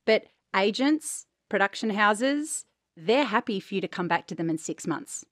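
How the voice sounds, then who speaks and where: Australian woman, podcast co-host, chatty, keeps asking "right?", medium pitch, dry close mic. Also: animated, normal speed, a bit bright, dry recording. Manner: chatty, often adds "right?". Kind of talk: casual chat.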